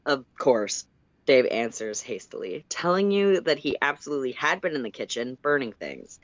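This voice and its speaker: A storyteller reading second-person fiction aloud on a livestream in an expressive narrating style. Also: mid-range voice, fairly quick, dry recording.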